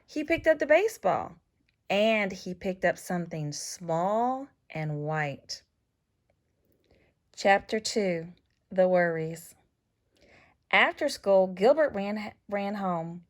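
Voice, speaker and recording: A woman reading a children's story aloud as scripted narration, with expressive, deliberate phrasing for young listeners. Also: medium pitch. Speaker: storybook reader.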